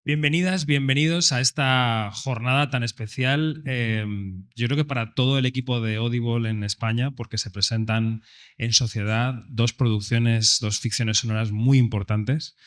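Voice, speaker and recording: A man with a Castilian Spanish accent moderates a live panel. His voice sits at a middle pitch as he presents guests and asks questions. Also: fairly even intonation, normal speed, fairly bright tone. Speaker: event host and moderator.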